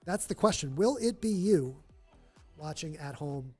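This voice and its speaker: A man's voice: fairly high pitch, animated, unhurried, darkish tone, breathy edge, soft background music. A man with a nasal voice hosting a live charity stream, chatting upbeat to fill time. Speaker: livestream host. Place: stadium event room.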